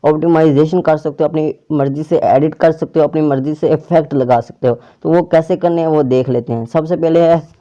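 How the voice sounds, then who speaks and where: A man's voice: on the high side, fairly even intonation, fairly quick, few pauses, mellow, lo-fi sound. A man hosting a casual tech tutorial, explaining an app step by step to viewers. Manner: step-by-step instructions addressed to viewers.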